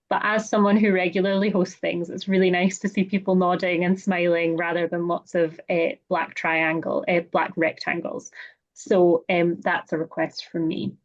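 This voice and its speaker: A woman with a Scottish accent hosts an online meeting. She talks through housekeeping in a chatty, welcoming way with frequent "um" fillers. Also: fairly low pitch. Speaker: webinar host. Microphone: computer or headset mic on a video call. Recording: slightly dull video-call audio.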